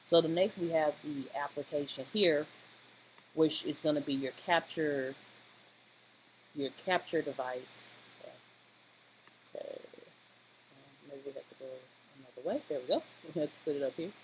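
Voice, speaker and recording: Halting tutorial presenter over noisy audio. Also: medium pitch. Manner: rambling, halting, often checking with "okay?". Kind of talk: informal screen-recorded software tutorial, talking through steps. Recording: clearly noisy home-style voice-over recording.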